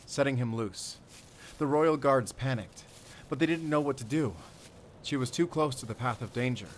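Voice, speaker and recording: Casting: male actor as grave werewolf hunter and spy for audio drama; measured, earnest storyteller, middle pitch, ambient noise behind. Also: close to an everyday voice. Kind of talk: cinematic audio roleplay acting.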